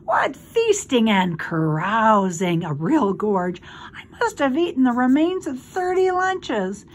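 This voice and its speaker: Woman acting a husky, gloating male rat. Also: fairly high pitch, heavily acted. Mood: gloating, sated. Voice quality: husky, hoarse. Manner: snarling, drawling, gloating. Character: gluttonous, smug, spiteful old rat, male.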